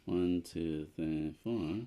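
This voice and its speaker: A man's voice: low-pitched, extremely slow, mellow. A man chatting casually as co-host of a board game playthrough, deliberating hesitantly as he counts. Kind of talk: casual chat while playing a board game.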